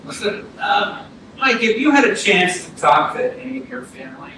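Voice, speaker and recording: A man's voice: high voice, lively intonation, normal speed. Male actor as police detective, conversational questioning, stage drama, big room echo, noisy. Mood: probing. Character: chatty, steady state police detective questioning someone. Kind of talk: staged play reading. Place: arts centre theatre. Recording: echoey theatre, distant mic, room noise.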